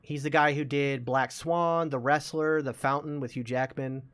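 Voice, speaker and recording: A man's voice: mid-range voice, fairly even intonation, normal speed, a bit bright, clean tone. Man casually hosting a movie podcast. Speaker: podcast host.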